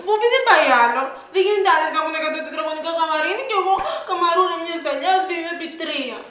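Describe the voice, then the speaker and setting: A woman's voice: extremely high pitch, bright, forceful tone, heavy reverb, distant mic. A woman playing a whiny, theatrical wife with a Cypriot Greek accent who dreams of a singing career, in a comedy sketch. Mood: sulky. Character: whiny Cypriot wife, aspiring nightclub singer.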